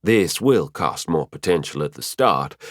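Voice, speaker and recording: A man's voice: sits low, lively intonation, a little slow, no echo, clean studio sound. A man voicing a cheerful, teasing female spirit mentor in an audiobook, chatty and fond as she explains things. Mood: explaining. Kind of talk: audiobook character voice.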